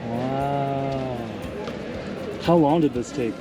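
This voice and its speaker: A man's voice: mid-range voice, drawn-out words, background noise. A man from Thailand talking casually to his viewers as he walks city streets, loose and full of "like" and "you guys". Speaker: travel vlogger. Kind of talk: casual travel vlog.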